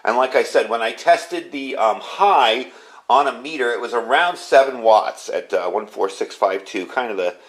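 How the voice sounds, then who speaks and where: A man's voice: mid-range voice, moderate pace. A man giving a casual product review and demonstration, explaining things informally and with enthusiasm. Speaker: ham radio product reviewer.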